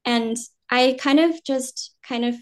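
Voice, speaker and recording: Chatty American woman, slightly high. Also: slow. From New Jersey, US. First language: Vietnamese. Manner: relaxed, rambling, many "um" and "like", laughs easily. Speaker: guest actor. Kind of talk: casual interview chat.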